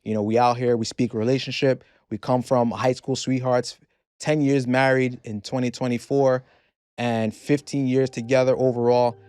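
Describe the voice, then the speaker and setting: Thirties male guest, earnest, halting, mid pitch, dry studio. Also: little pitch movement, darkish tone, clear, resonant tone, no echo. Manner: earnest, stumbling restarts. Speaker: podcast guest. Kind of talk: casual interview chat. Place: podcast studio.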